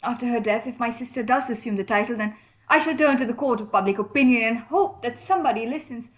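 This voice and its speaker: A woman's voice: fairly high pitch, fast, lightly acted. A woman playing a wistful, reserved young Indian woman far from home in a period radio mystery, polite and guarded. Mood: wistful, open.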